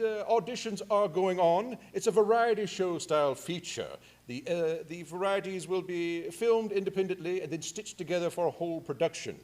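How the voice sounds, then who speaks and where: A man giving a live pre-show welcome as a theatre host, with a wry, self-deprecating manner. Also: a little high. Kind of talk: live curtain speech, part scripted.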